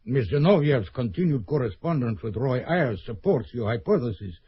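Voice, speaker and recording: An actor playing a cold, scheming middle-aged KGB colonel in an old radio drama. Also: male voice, medium pitch, animated, moderate pace, breathy edge, no echo. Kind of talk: 1970s radio drama acting. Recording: dull old radio recording.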